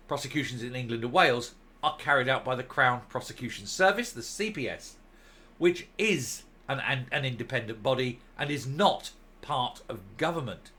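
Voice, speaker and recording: A male commentary host reading a document aloud and commenting on it, stressing key words with deliberate, emphatic phrasing. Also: medium pitch, bright, forceful tone.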